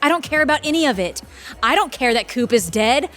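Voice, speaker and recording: A woman's voice: high voice, lively intonation, brisk, fairly bright tone. A woman playing a blunt, weary law firm associate in an audio drama. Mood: weary, dismissive.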